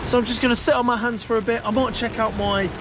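A man's voice: extremely high pitch, fairly even intonation, fast talker. A man chatting casually and hesitantly to camera as a fishing vlogger. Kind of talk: casual vlog chat to camera. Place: outdoors on a stormy beach under an umbrella. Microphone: camera at arm's length. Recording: clear rain and weather noise outdoors. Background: rain.

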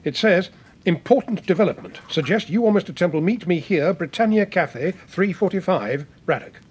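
An actor playing a brisk, puzzled senior British police official in a radio drama. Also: male voice, sits high, lively intonation, slightly rough.

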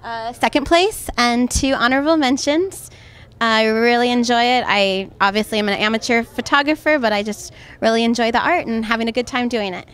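An American woman in her thirties with a fairly high voice speaks into a close handheld mic. She chats informally as an amateur photographer being interviewed. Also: fairly quick, smooth. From California. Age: 30s. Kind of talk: unscripted interview talk. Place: indoor exhibit hall. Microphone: close handheld microphone.

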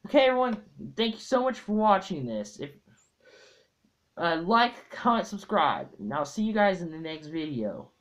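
A young man talking casually to viewers in a halting, hesitant way, recorded at home on a tablet's built-in mic. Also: high voice, very animated, a bit bright, highs cut off. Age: young adult. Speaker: amateur fandub video maker. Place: small room at home. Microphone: tablet built-in mic at arm's length.

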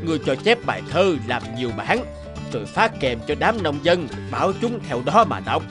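A man's voice: a little high, big pitch swings, moderate pace, over music. A man voicing a greedy, vain, blustering village landlord in a cartoon, pompous and bossy. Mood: smug. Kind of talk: cartoon dubbing.

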